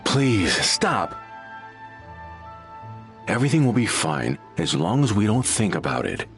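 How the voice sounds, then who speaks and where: Slow gravelly guy, hesitant, kinda low, faint music behind. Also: big pitch swings. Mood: uneasy. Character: evasive young man avoiding a nightmare. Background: game music.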